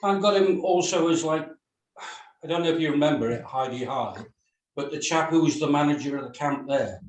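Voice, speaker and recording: A man's voice: on the high side, brisk. A middle-aged or older man giving casual feedback as a reader in a group discussion, heard with room echo and some distance from the mic.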